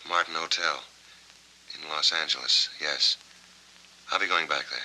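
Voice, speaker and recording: Low, tense film-noir war veteran. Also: male voice, fairly even intonation, measured pace, bright, forceful tone, exaggerated voice, rough recording. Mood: guarded. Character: troubled, guarded male war veteran searching for answers. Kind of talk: 1940s film noir acting. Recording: old film soundtrack with faint noise.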